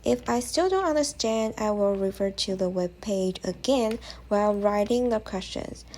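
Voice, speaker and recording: A woman's voice: mid-range voice, animated, moderate pace, a little mellow, a little hiss or hum. A young woman whose first language is Chinese, narrating a study tutorial in careful, slightly non-native English. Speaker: language-learning vlogger. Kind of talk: scripted voice-over tutorial.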